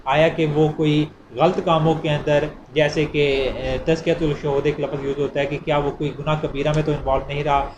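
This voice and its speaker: A man's voice: on the high side, flat delivery, normal speed, hardly any pauses. A man with a Pakistani accent giving an informal explanatory law lecture in a didactic way, repeating points as he goes. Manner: didactic, repeats points, poses and answers questions. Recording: clearly noisy amateur recording.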